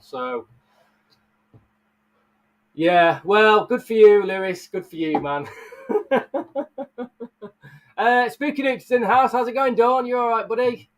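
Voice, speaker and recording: A man's voice: high-pitched, low-quality recording. A man in his thirties with a blocked-up, nasal voice from illness, rambling casually to livestream viewers and laughing often. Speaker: live streamer and bug keeper. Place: bug room, indoors.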